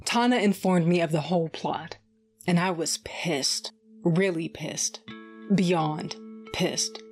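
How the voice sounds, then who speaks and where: A woman's voice: lowish voice, slow, faint music behind. A woman voicing a tough, sardonic fighter with a cybernetic eye who narrates in the first person, blunt and profane with dry humor. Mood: gruff, wry.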